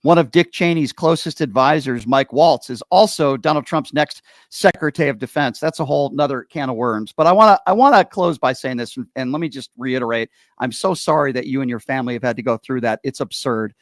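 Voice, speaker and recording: A man's voice: mid-range voice, fairly quick, few pauses, dry recording. A man from Connecticut hosting an interview, effusive and affirming toward his guest. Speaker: podcast host. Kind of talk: interview conversation.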